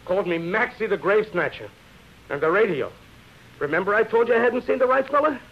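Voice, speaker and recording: A fed-up show-business agent in an old radio play. He is blunt, streetwise and emphatic, with a highish voice that swings widely, on a dull recording. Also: expressive, brisk. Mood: scathing. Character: blunt, exasperated male theatrical agent, worn out and scathing. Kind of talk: 1930s radio drama acting. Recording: muffled, noisy vintage radio recording.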